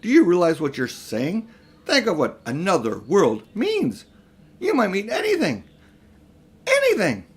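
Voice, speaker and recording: A man's voice: high-pitched, wildly swooping pitch, a little slow, husky, voice pushed up, full character voice. A man voicing a pompous, vain, selfish elderly magician uncle in a storybook reading, with a grandiose, self-important manner. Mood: indignant. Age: older. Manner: grandiose, self-important, indignant when challenged.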